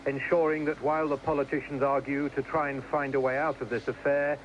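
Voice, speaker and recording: A man's voice: mid-range voice, muffled recording. A man narrating a scripted television news report as a foreign correspondent. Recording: clearly noisy 1980s news report.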